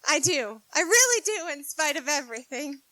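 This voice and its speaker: A frank, spirited young woman, voiced by a woman, chatters eagerly in a 1940s-style radio play. Her voice is high, and the sound is close and dry. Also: big pitch swings, normal speed, bright, forceful tone, no room sound, heavily acted, poor audio. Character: spirited outspoken young woman, tomboyish writer. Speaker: radio play actor and narrator.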